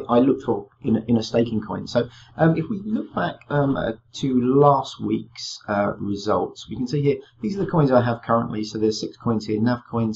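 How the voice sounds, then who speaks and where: A man hosting his crypto video with a slightly croaky, fading voice, talking loosely and filling with "kind of" and "um". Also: medium pitch, darkish tone, highs cut off. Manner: rambling, full of "kind of" and "um" fillers. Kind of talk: casual screen-share walkthrough.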